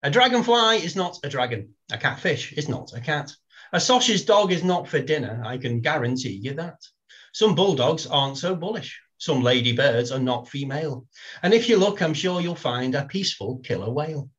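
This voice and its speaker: A man's voice: a little high, expressive, normal speed, fairly bright tone, lo-fi sound. Wry man reading playful verse. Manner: wry, self-deprecating, reads verse with playful timing. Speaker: poet, guest. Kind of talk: casual chat and poetry reading.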